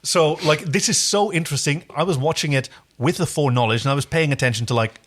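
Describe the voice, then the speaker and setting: A man's voice: on the high side, fast talker, fairly bright tone. A man co-hosting a casual episode recap, effusive and rambling, repeating words as he goes. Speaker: podcast co-host.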